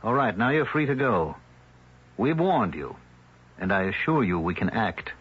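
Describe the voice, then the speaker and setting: Casting: polite but threatening village leader, old radio thriller, husky, with lively condescending swings in pitch. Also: male voice, measured pace, heavily acted. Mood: smug menace. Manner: smooth-mannered, condescending, menacing. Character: smug, threatening male small-town leader. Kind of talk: 1970s radio drama acting. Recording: muffled old radio broadcast.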